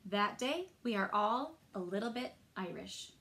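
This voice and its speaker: A woman's voice: mid-range voice, animated, a little slow, a bit bright. A woman in her thirties presenting a children's story time and explaining things simply, recorded in a room at home a short way from the mic. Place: small indoor room at home.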